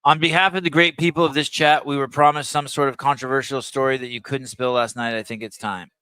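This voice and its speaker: A man's voice: medium pitch, steady tone, quick pace, sharp tone, smooth, no room sound, low-quality recording. A man hosting a podcast, chatting crudely and jokingly and reading out chat comments. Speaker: podcast host.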